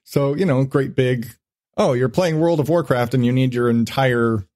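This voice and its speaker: A man's voice: medium pitch, moderate pace, a little mellow, dry recording, studio quality. A man hosting a podcast in casual, profane chat, rambling along with irreverent, self-mocking asides. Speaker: podcast host.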